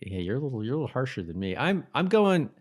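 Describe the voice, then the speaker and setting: A man co-hosting a podcast, chatting casually about a TV show in a halting, hedging way. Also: mid-range voice, very animated. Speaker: podcast co-host.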